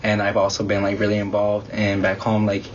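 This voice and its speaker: A young man whose first language is Spanish, answering interview questions in an earnest, halting way full of "like". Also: lowish voice, completely flat delivery, lo-fi sound, a little hiss or hum. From Mexican-American community. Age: young adult. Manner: earnest, halting, heavy use of "like". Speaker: interviewee, student.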